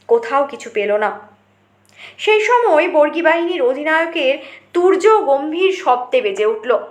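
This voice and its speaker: A woman's voice: high voice, a bit bright, echoey room. A woman reading a short story aloud as dramatic scripted narration.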